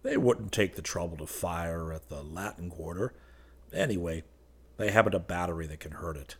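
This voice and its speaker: A man's voice: deep voice, lively intonation, measured pace, darkish tone, breathy edge, full character voice. A man voicing a cheerful young sweetheart who offers light, unconcerned reassurance in an audiobook. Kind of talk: audiobook character dialogue.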